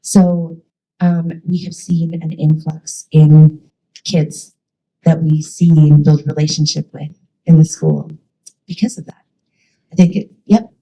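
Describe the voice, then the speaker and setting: A woman's voice: low-pitched, steady tone, slow, dark tone, slightly rough, low-quality recording. A woman leading youth ministry, giving a chatty, enthusiastic talk to a church with many fillers.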